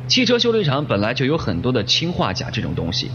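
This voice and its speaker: A man's voice: medium pitch, wildly swooping pitch, fast talker, fairly bright tone. A man playing a blunt, matter-of-fact police detective in a radio drama. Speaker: voice actor. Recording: dull lo-fi, noisy, reverberant hall.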